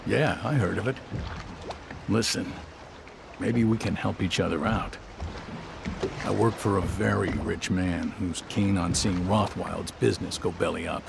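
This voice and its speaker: An actor playing a nervous small-time smuggler who talks in wary, rough street speech with a gravelly voice. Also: male voice, sits low, fairly even intonation, a little slow, pauses often, background noise, full character voice.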